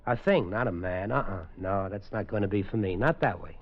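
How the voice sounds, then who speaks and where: A man's voice: brisk, natural voice. An actor playing a thoughtful man with trained super senses, narrating and talking in a 1950s radio drama. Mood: resigned. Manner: earnest, thoughtful, lively in pitch. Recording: dull old radio broadcast with faint music.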